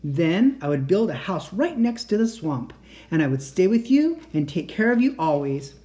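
A man voicing a gentle mother reassuring her child at bedtime. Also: high voice, sing-song, normal speed. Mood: tender. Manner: soothing, tender reassurance.

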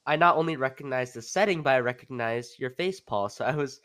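A man's voice: mid-range voice, brisk, a bit bright. A young man co-hosting a casual podcast interview, speaking in an earnest, deferential way. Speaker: podcast co-host.

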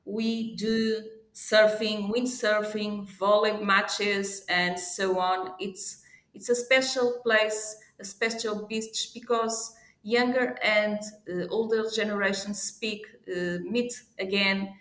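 A woman with a Portuguese accent and a medium-pitched voice, recorded with noticeable room echo. As an interview guest, she phrases things carefully and searches for words. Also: fairly even intonation, slow, fairly bright tone. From Portugal, born in Africa. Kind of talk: promotional interview answer. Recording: echoey room sound.

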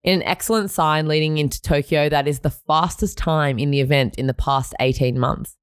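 An Australian woman co-hosting a sports podcast, a little croaky after a weekend, bright and chatty. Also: sits low, a little fast, no room sound, studio quality. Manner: bright and chatty, laughs often. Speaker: podcast co-host. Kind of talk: sports news podcast reading and chat.

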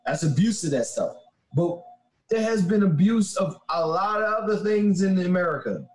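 A man in a casual debate chat, arguing his points slowly and emphatically. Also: high-pitched, expressive, darkish tone. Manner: argumentative, slow and emphatic when making a point. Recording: roomy echo.

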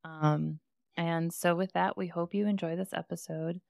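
Chatty woman host, smooth clear voice. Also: lowish voice, steady tone, normal speed, darkish tone, no room sound, clean studio sound. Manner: chatty, bubbly, lots of fillers. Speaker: podcast co-host. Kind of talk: casual podcast chat.

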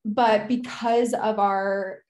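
A young American woman in her twenties talks openly as a podcast guest. Her delivery is loose and conversational, full of "like" and "you know", and she often pauses to gather her thoughts. Her pitch sits in a middle range for a woman. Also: fairly even intonation, a little mellow. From United States. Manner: casual, frequent "like" fillers, reflective. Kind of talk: candid conversational interview.

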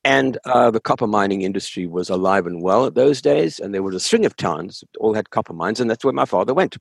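An older man with a South African accent and a husky voice, telling stories conversationally in an interview, emphatic and often repeating words as he goes. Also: fairly low pitch, animated, a little fast, no room sound, studio quality. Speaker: interview guest, author. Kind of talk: conversational storytelling.

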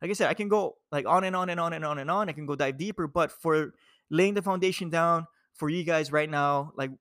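A man's voice: a little high, a little fast, a bit bright, dry recording, clean studio sound. A young man from Hawaii, a nutrition coach, talking casually to viewers in a laid-back, rambling way full of fillers and "dude".

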